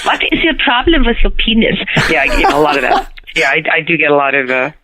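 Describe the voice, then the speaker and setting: A middle-aged woman from Canada, whose first language is Hungarian, chatting as a guest actress in an interview. She talks in a lively way, laughs often, and repeats words as she starts her sentences. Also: low voice, fast. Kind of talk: casual interview chat. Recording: tinny phone line.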